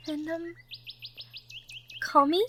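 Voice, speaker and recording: A woman voicing a sweet, shy young cottage girl in love, gentle and stammering, in a girlfriend roleplay audio drama. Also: very high-pitched, fairly even intonation, very slow, a little hiss or hum, full character voice. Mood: shy, tender. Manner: stammering, gentle, breathy asides.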